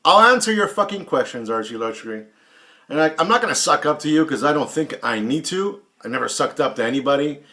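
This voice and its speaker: A man's voice: on the high side, expressive, a little fast, pushed and bright. A man in his late forties answering another vlogger's questions in a casual, blunt, heavily profane and taunting way, often repeating himself for emphasis. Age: 40s. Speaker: YouTube watch channel host.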